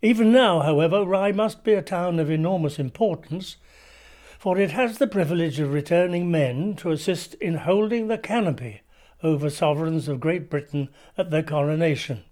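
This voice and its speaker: Actor as a measured, wry Victorian gentleman writer. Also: on the high side, lively intonation, lightly acted. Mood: wry, musing. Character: witty Victorian gentleman writer musing on a town. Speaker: actor reading journal extracts. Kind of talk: dramatic reading of a Victorian journal.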